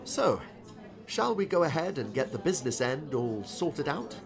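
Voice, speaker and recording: A man playing a genial, corny retired professor turned novelist, older, courteous and gently philosophical, in an audiobook drama. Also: a little high, big pitch swings, normal speed, gravelly, over music. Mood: cheerful.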